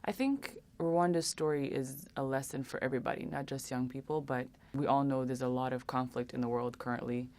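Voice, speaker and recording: A young woman answering interview questions earnestly, with many pauses and restarts. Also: extremely low pitch, fairly even intonation, a little mellow. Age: young adult. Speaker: interview guest.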